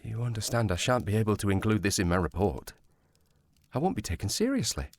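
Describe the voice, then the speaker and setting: An actor playing a courteous, reserved police sergeant in a period audio drama, speaking in a formal, measured manner. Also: male voice, lowish voice, big pitch swings, no room sound. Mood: sober, earnest.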